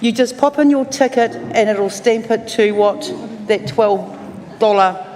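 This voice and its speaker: A woman with a New Zealand accent, working as MC, makes brisk practical announcements. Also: fairly high pitch, a little mellow, slight background noise. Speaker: event MC. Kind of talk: making announcements. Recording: hall reverb with crowd.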